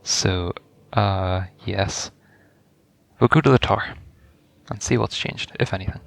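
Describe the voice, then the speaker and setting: A man's voice: low voice, a little slow, creaky. A man giving casual first-reaction commentary on a video game, rambling and thinking aloud as he goes. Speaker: gaming video host.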